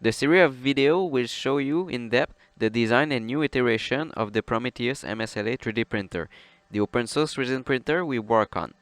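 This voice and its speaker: A man's voice: medium pitch, brisk, few pauses, dry recording. A man with a French accent, slightly under the weather with flu, presenting a scripted build tutorial as a voice-over. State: sick with flu, slightly odd voice. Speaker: presenter of a 3D printer build series.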